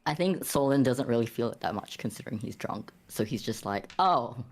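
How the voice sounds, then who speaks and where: A woman's voice: a bass voice, lively intonation, a little fast, darkish tone. A woman chatting casually as a tabletop game player, with a wry, easygoing manner.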